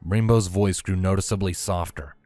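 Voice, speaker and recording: Male narrator, deep register, scripted storytelling, very dry close sound with music underneath. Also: steady tone, normal speed, warm tone, no room sound. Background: background music.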